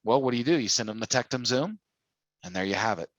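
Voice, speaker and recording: A man with a medium-pitched voice leads a sales training call. He talks informally and persuasively, often putting questions to the listeners. The sound is dry, with little room in it. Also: animated, fast talker, a bit bright, no room sound, lo-fi sound. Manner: persuasive, full of rhetorical questions. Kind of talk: informal sales coaching talk.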